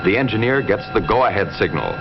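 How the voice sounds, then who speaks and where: Deep-voiced 1950s educational film narrator, male. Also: expressive, music in the background. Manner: measured, clear, explanatory delivery in simple sentences. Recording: dull, noisy old film soundtrack.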